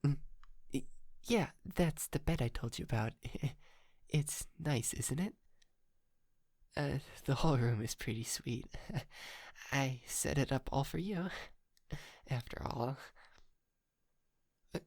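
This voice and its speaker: Casting: male actor as polite, obsessive yandere lover for ASMR roleplay; unhurried, creaky delivery, nervous giggly stammer. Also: mid-range voice, dry recording, clean studio sound. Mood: shy, doting. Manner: stammering, giggly, hesitant, softly doting. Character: shy, lovestruck, polite yandere man, nervously obsessive kidnapper. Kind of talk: intimate ASMR roleplay acting.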